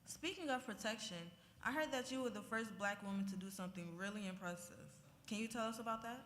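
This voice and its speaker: A woman acting as talk show host in a church skit, reading aloud in an even way. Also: medium pitch, quick pace. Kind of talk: scripted talk show hosting. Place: church hall. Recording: hall reverb with faint noise.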